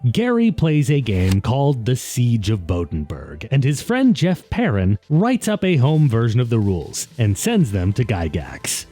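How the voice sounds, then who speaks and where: A man's voice: medium pitch, wildly swooping pitch, a little slow, hardly any pauses, dark tone, soft background music. A man narrating a scripted video essay in a conversational, wry way, as the host of the show.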